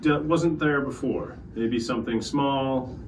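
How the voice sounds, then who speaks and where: A man playing a polite, diplomatic starship captain in a roleplaying game, speaking formally and haltingly. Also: medium pitch, natural voice. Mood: reassuring, diplomatic. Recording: distant mic, noisy room sound.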